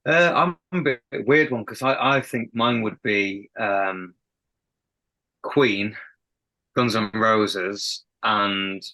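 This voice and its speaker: A man's voice: on the low side, takes it slowly, pushed and bright, rough recording. A young man with a faint Sheffield accent, a band's lead singer chatting as a guest in a casual podcast interview, rambling and laughing often. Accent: Sheffield, softened. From England.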